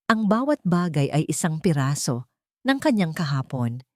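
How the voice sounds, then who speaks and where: A woman narrates a scripted, dramatic story. Her pitch sits a little low for a woman, and the recording is dry with almost no room sound. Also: big pitch swings, moderate pace, darkish tone, no room sound.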